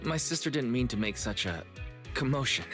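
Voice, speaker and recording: A man acting as a courteous, easygoing young brother in a video game. His voice is bright and crisp at a medium pitch, with a slightly hesitant, conversational delivery. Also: lively intonation, moderate pace, faint music behind, lightly acted. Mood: mild, courteous. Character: mild, polite young brother, easygoing shopkeeper.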